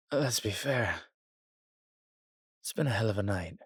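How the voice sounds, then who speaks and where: Man acting a slow, husky, roguish old vampire. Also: lowish voice, fairly bright tone, no echo, clean studio sound, exaggerated voice. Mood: wry, weary. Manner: theatrical, archaic turns of phrase, teasing. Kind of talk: intimate roleplay audio drama.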